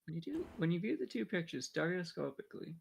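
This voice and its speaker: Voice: medium pitch, big pitch swings, fast, gravelly, slight background noise. A person acting out a lawyer's lines during a casual group game playthrough. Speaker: player voicing a defense lawyer in a fan dub. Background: game music.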